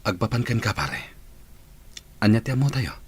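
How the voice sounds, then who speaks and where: An actor playing a frank, consoling young friend in a radio drama. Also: male voice, fairly low pitch, very animated, normal speed, slight background noise. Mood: earnest, consoling.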